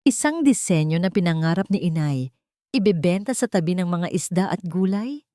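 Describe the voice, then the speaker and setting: A woman with a Filipino accent narrating a scripted first-person drama in measured, deliberate phrases. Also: fairly low pitch, lively intonation, mellow, no echo, clean studio sound. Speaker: story narrator.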